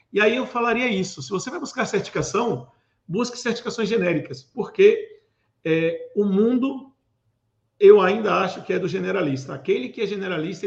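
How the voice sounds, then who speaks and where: A man in his forties from Pernambuco, Brazil, a guest speaking in a casual interview, giving thoughtful advice with many fillers and pauses. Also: high-pitched, creaky. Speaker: guest, security event organizer. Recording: roomy, dull lo-fi sound.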